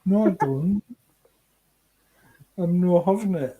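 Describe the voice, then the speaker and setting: Low, slow Scottish woman. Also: husky, phone-quality sound. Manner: playful, stubbornly denies things. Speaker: stream guest. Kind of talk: casual stream chat.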